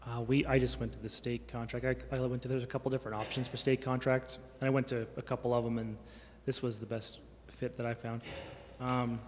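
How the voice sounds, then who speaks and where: An American man casually explaining as a city staff member, in a halting, informal way. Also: mid-range voice, level tone. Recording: reverberant hall, faint noise.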